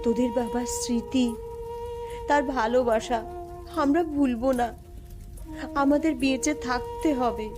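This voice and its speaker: Woman acting a slow-spoken grieving cartoon mother. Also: high-pitched. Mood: sorrowful, consoling. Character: grieving widowed mother, gentle and resolute. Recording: music behind the voice.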